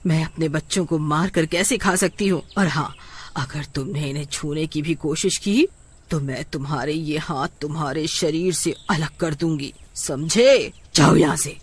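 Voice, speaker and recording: A woman's voice: deep voice, husky. A woman voicing a lonely, possessive village aunt in a cartoon story, with a dramatic manner. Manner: dramatic, scolding or doting.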